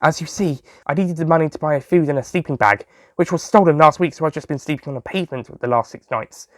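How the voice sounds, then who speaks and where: A man's voice: fairly high pitch, quick pace, dry recording, clean studio sound, close to an everyday voice. A man voicing a meek, grateful young homeless woman with an earnest, plaintive manner in an audio story. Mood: plaintive, explaining.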